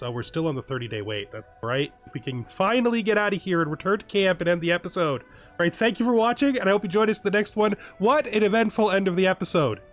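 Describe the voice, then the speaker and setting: A man with a fairly high voice hosts a roleplay video game playthrough over background music. He moves between casual remarks and theatrical narration, with a wry, amused touch. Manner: theatrical, wryly amused, acting out characters. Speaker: host of a gaming let's play. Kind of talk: casual roleplay commentary mixed with reading game text.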